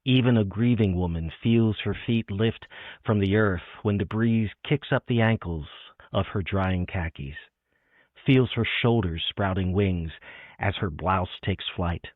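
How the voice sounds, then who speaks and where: Man, deep voice, literary interviewer, narrow telephone-like recording. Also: a little slow. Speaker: interviewer and host. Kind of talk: literary chat and poetry reading. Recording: echoey, band-limited phone-like sound.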